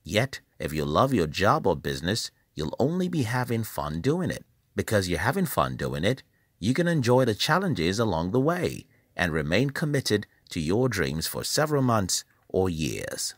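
A man from Nigeria, reading a scripted motivational voice-over in a measured, emphatic, preacherly way. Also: on the low side, very animated, no echo, clean studio sound. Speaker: channel host.

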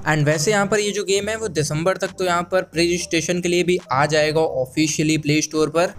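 A man from India with an Indian accent hosts a casual gaming news voice-over, mixing in English words as he goes. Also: a little high. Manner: code-switches between Hindi and English. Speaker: gaming channel host. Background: background music.

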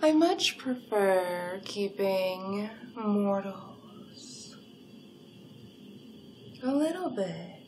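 Very slow, seductive vampire villainess played by a woman. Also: mid-range voice, lively intonation, a little mellow, a little hiss or hum, rough recording. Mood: hypnotic, soothing. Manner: slow, breathy, deliberate, often dropping into whispers. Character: seductive hungry vampire villainess, hypnotic and poised. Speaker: roleplay performer. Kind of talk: ASMR hypnosis roleplay, in character.

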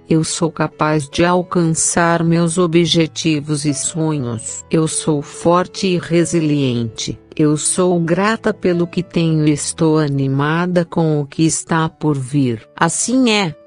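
A woman reading scripted devotional words in a measured, deliberate way. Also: low-pitched, darkish tone. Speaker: prayer and mantra reader. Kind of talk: slow scripted devotional narration. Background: background music.

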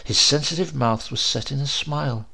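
Creaky-voiced man narrating horror dramatically. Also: mid-range voice, moderate pace. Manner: theatrical, suspenseful delivery with emphatic swells and hushed drops. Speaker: audiobook narrator.

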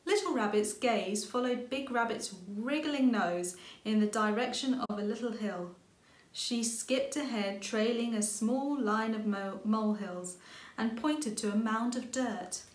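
Female storybook narrator, middle-aged, higher-pitched, reading aloud, roomy recording. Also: a little slow. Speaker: children's author reading aloud. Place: indoors, small room with bookshelves. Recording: echoey room sound.

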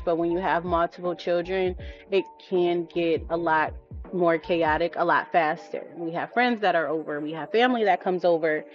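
A woman's voice: fairly low pitch, highs cut off. A woman in her thirties, a mother vlogging, talking candidly and chattily with many ums. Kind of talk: casual voice-over chat.

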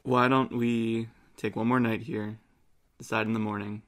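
A man playing a measured, practical healer in a tabletop game, speaking calmly. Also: on the low side, lightly acted.

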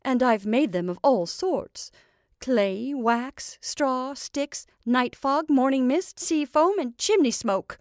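Storybook old witch played by a woman: bossy, scornful, coaxing, high and emphatic, declaiming in archaic phrases. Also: expressive, takes it slowly, no room sound, studio quality. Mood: gleeful, doting.

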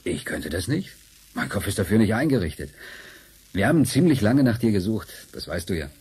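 A very husky, patient scientist. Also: male voice, fairly low pitch, sing-song, a little fast, darkish tone, full character voice. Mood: patient, kindly. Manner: hesitant, patient, explanatory. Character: patient, kindly male scientist explaining to a girl. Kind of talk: German radio drama acting.

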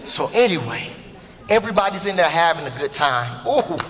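Man playing an animated husband in a stage play. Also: fairly high pitch, normal speed, a crowd in the background. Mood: storytelling. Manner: animated, storytelling, playful. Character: husband confessing to his wife, teasing, defensive. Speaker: stage actor. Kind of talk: live stage play acting. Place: large theater stage. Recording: reverberant theater hall.

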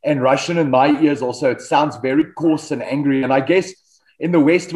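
A man's voice: mid-range voice, poor audio. A man in his thirties with a South African accent, an Afrikaans speaker, chatting relaxedly about his band over a video call. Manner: relaxed storytelling, frequent ums and restarts. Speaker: metal band vocalist, guest. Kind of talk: casual video-call interview. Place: home studio with band posters. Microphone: desk microphone on video call.

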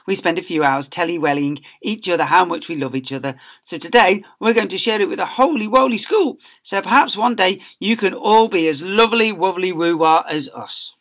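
A man's voice: high voice, a little fast, few pauses, a bit bright, pitched above the performer's own voice. A man voicing a sappy, smug little boy, an adoring teacher's pet talking sweet baby talk. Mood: smug, gushing.

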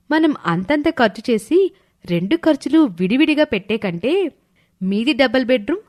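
An earnest young working woman acted by a woman in a cartoon moral story. Her voice is fairly high, speaking scripted dialogue. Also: lightly acted. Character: young working wife and her chummy office friend.

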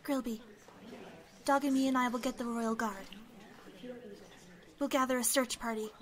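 A woman's voice: a little high, steady tone, normal speed, natural voice. A woman voicing a concerned bystander who takes charge in a fan audio drama. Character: concerned bystander rallying a search party.

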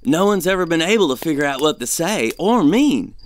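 An actor playing a superstitious, fearful treasure hunter in an audio drama, with a folksy, foreboding way of talking. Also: male voice, fairly high pitch, very animated, brisk. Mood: wary.

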